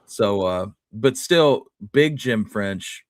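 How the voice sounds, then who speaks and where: A man co-hosting a show, chatting casually and thinking aloud in a halting way. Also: lowish voice, lively intonation, dry recording, clean studio sound. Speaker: show co-host. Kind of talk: casual conversational commentary.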